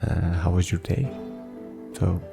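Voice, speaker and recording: A man speaking in a soft-spoken ASMR style, gently and hesitantly guiding relaxation as a personal therapist. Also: low-pitched, slow pace, vocal fry. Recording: soft guitar music behind the voice.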